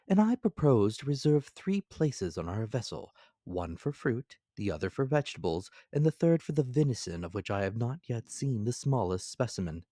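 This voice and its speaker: A man voicing a fussy, deferential manservant, excitable and prim, in a dramatic audiobook. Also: mid-range voice, moderate pace, dry recording, clean studio sound, pitched above the performer's own voice. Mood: polite, pleased.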